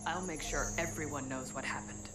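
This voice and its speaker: A woman's voice: low-pitched, moderate pace, over loud music, lightly acted. An actress playing a defiant, resolute woman in a video game cutscene, accusing someone. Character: defiant woman confronting a traitor. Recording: distant, echoey, clearly noisy.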